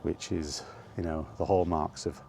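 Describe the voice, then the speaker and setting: A man's voice: deep voice, fairly even intonation, unhurried, creaky, mellow, slight background noise. A man rambling casually in a weary, grumbling way, as in a freewheeling solo vlog. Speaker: vlogger. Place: walking while talking, ambient noise behind.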